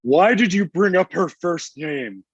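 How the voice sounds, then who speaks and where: A man's voice: high voice, lively intonation, measured pace, breathy edge, lo-fi sound, heavily acted. A man playing a grumpy, ancient Santa Claus in a comedy table read, with a blunt, testy, conversational manner. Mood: stern.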